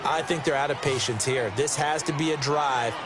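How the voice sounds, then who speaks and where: A man's voice: medium pitch, moderate pace, a loud crowd, heavy background noise, natural voice. A man with an American accent voicing a chummy football color commentator in a video game, explaining things in a conversational, folksy way. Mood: explanatory. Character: chummy male football analyst in the booth. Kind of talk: conversational sports analysis.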